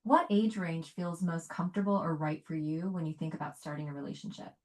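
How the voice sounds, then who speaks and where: A woman speaking calmly and evenly, paraphrasing what she hears and asking follow-up questions. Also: low voice. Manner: calm, measured, paraphrases and asks follow-up questions. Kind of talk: conversational advice.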